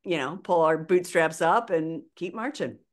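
An older woman co-hosts a podcast from her bedroom over a video call. Her voice is notably low and deep. She speaks with earnest conviction, pressing her points home. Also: animated, moderate pace. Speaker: podcast co-host.

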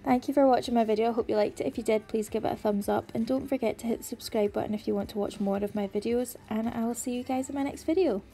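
A woman's voice: fairly high pitch, fairly even intonation, quick pace, few pauses, mellow, slight background noise. A young pregnant woman, tired but chatty, narrating a home cleaning video in voice-over. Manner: chatty, friendly, explaining each step. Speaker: cleaning vlogger.

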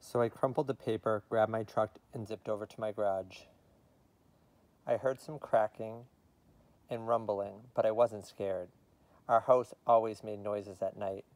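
A man's voice: on the low side, steady tone, unhurried, a little mellow, dry recording. A man, reading a children's story aloud expressively. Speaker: read-aloud reader.

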